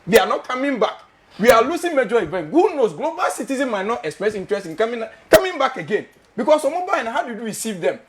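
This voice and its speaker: A man's voice: very high voice, big pitch swings, quick pace, background noise. A Ghanaian man hosting a studio talk show, speaking English with a Ghanaian accent, passionate and emphatic, repeating his questions and slipping into Twi. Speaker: host.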